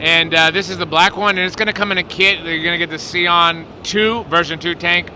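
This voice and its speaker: A man with a Greek accent chatting casually as a co-host and industry representative, easygoing and quick to laugh. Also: high voice, fairly even intonation, poor audio. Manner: easygoing, laughing, talks with a few slips. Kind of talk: casual chat. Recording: loud background noise.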